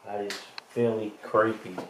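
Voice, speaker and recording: A man's voice: lowish voice, flat delivery, measured pace. A man in his thirties narrating a ghost-hunting vlog on the spot and calling out to spirits as a paranormal investigator. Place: inside an empty, derelict house. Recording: noisy handheld camera audio with clicks.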